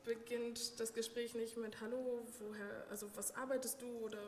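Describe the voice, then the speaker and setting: A woman's voice: a little high, level tone, normal speed, darkish tone. A woman from Germany, a native German speaker, answering as an interview guest in a casual, personal way with wry, self-deprecating humour. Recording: echoey hall reverb, faint noise.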